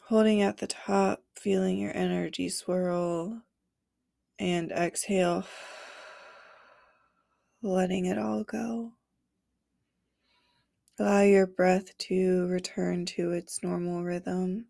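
Slow, gravelly-voiced woman guiding meditation. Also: on the low side, level tone, warm tone, no room sound, clean studio sound. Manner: slow, soothing guidance with long pauses. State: stuffy nose from a cold. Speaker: podcast host and meditation guide. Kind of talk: guided meditation.

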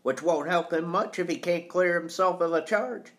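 A man's voice: high voice, normal speed, a bit bright, pitched above the performer's own voice. A man voicing a shaken, earnest teenage boy who is anxious for his father, in a storybook. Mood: earnest, troubled.

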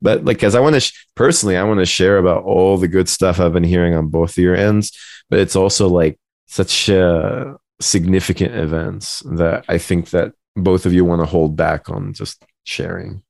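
Very deep, gravelly-voiced man. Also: fairly even intonation, normal speed, a little mellow, dry recording. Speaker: podcast co-host. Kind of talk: casual podcast chat.

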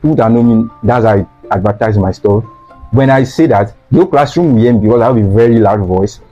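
Young Nigerian man, earnest interview guest, dark mellow tone, slightly lo-fi, faint music behind. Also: lowish voice, fast talker. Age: young adult. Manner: earnest, repeats phrases for emphasis. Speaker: guest, student sweet seller. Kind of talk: casual interview talk. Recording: slightly dull lo-fi sound.

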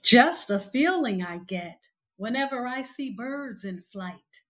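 A woman hosting a podcast, delivering scripted announcements and poetry in a deliberate, expressive way. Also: a little high, no room sound, clean studio sound. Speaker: podcast host. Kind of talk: scripted show sign-off and poetry recitation. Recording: music bed under the voice. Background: music.

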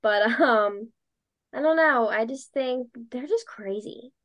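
A young woman from Delaware County with a Delco accent, chatting loudly as an interview guest and filling her talk with "like.". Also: fairly high pitch, slow. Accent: Delco (Philadelphia area). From Delaware County, Pennsylvania. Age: young adult. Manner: loud, talkative, lots of "like". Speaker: guest, dancer and podcaster. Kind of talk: casual interview chat.

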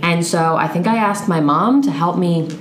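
A woman's voice: fairly low pitch, normal speed. A young woman from Pennsylvania, a guest telling her story in a casual, chatty interview. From Pennsylvania, United States. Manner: chatty, storytelling, easy laughs. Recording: echoey room, faint background noise.

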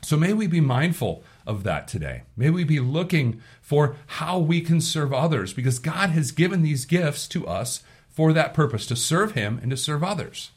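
A man leading a devotional sermon and prayer, his voice hoarse from allergies, talking earnestly and often repeating words as he gathers his thoughts. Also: mid-range voice. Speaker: pastor.

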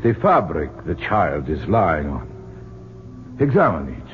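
An actor playing a grave, courteous archaeologist in an old-time radio drama, speaking in a solemn, formal, measured way. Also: male voice, a bass voice, big pitch swings, exaggerated voice. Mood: troubled, grave. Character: grave, courteous male archaeologist wary of evil. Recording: narrow-band noisy old radio recording.

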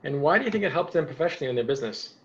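A man's voice: on the high side, expressive, very fast, a bit bright. A man from New York hosting a podcast interview, asking enthusiastic, rambling questions. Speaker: podcast host. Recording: dull lo-fi sound.

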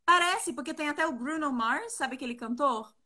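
A woman's voice: high voice, normal speed, a crowd in the background. A woman, chatting casually and a little giggly as an interview guest on a video call. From Brazil, partly raised in South Korea. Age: 20s. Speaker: interview guest, professional flautist.

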